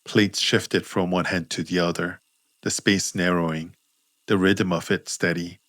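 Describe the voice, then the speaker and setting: A man with a deep voice narrates scripted fiction. His delivery is measured and understated, and the recording is close and dry. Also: level tone, fairly bright tone, no echo. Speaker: author and narrator.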